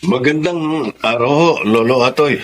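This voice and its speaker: A man's voice: medium pitch, normal speed, a little hiss or hum, close to an everyday voice. An actor playing a polite, earnest young father in a radio drama. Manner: polite, expressive. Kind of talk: Filipino radio drama acting and narration. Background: music.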